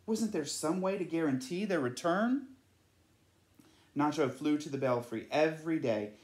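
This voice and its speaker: A man's voice: on the high side, a little slow, darkish tone. A man reading a children's picture book aloud as a storyteller. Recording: echoey room.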